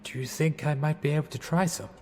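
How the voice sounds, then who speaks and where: A man's voice: on the high side, steady tone, quick pace, a little mellow, a little hiss or hum, lightly acted. An actor playing a genial, dim young upper-class Englishman in 1920s radio theatre, hesitant, bumbling and mild-mannered. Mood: mild, bemused. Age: young adult. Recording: long echoing reverb, music underneath.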